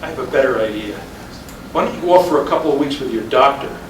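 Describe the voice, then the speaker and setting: A man's voice: medium pitch, quick pace, close to an everyday voice. A man acting a weary, wry middle-aged policeman husband in a staged reading of a play. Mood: exasperated. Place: indoor live reading at a college. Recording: echoey room from far off, noisy.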